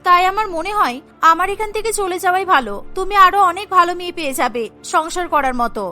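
A woman's voice: extremely high pitch, expressive, fast talker, pushed and bright, exaggerated voice. A woman voicing a bold young female village bandit, defiant and earnest, in a cartoon story. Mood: pleading, resigned.

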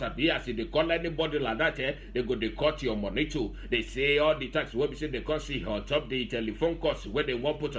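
Higher-pitched young Nigerian man presenting news. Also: fairly bright tone. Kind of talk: conversational news reading in Pidgin. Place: small radio studio with acoustic panels. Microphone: close studio mic on boom arm.

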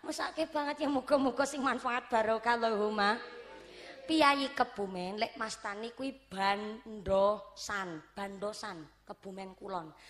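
A middle-aged woman preacher speaks with a strong ngapak Javanese accent from Cilacap. Her voice sits a little high for a woman, and her delivery is animated and playful as she teases a crowd and moves between Javanese and Indonesian. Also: slight background noise. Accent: Banyumasan (ngapak) Javanese. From Cilacap, Central Java. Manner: animated, joking, switches between Javanese and Indonesian, laughs often. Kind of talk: religious lecture to a crowd.

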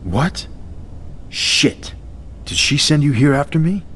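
An actor playing a wary, cynical male vampire in hiding, gruff and dismissive, in a video game cutscene. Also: on the high side, sing-song, slow, fairly bright tone, slight background noise, full character voice. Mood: suspicious.

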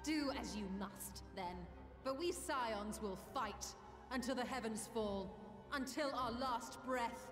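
A woman playing a stern, sharp-tongued cat-eared scholar in a video game, speaking formally and cuttingly. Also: fairly high pitch, slow. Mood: defiant. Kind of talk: scripted video game acting. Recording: echoey hall reverb, music behind.

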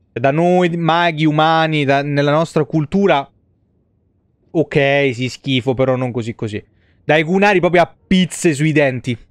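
A man's voice: medium pitch, clear, resonant tone, dry recording. An Italian man in his thirties giving casual, profane live game commentary and chatting to viewers. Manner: informal, heavily profane. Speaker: game streamer. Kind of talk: casual live gameplay commentary with asides to chat.

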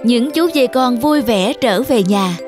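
A woman's voice: on the high side, animated, a little slow. A woman narrator reading a scripted children's story. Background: music.

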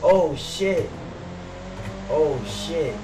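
Young American man, slangy swearing, casual gaming banter, highish voice, noisy roomy headset. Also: animated, extremely slow, far from the mic. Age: young adult. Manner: slangy, swearing street talk. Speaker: streamer playing an online role-play game. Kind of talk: casual in-game banter and chat. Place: indoors at a gaming desk. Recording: roomy headset audio with clear background noise. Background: game car and street ambience.

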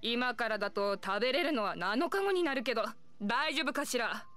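A woman playing a proud, feisty village meat chef in an anime-style game, brisk and self-assured. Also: fairly high pitch, expressive, fairly bright tone.

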